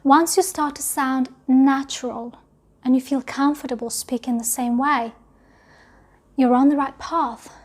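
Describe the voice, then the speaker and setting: High-voiced woman teaching English. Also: fairly even intonation, a little slow. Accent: learned British English. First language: not English. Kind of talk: scripted teaching talk to camera.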